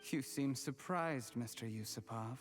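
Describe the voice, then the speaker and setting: An actor playing an ambitious, resentful commoner turned calculating schemer in a video game, speaking in a measured, deliberate way. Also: male voice, mid-range voice, over music, natural voice. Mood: bitter, defiant.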